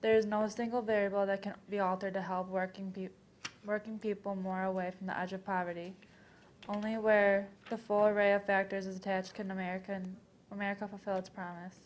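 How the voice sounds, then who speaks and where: A woman's voice: mid-range voice, little pitch movement, fairly quick, a little hiss or hum, close to an everyday voice. A young woman performing a scripted readers theater piece, reading aloud with occasional stumbles. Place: college library event. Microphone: worn microphone.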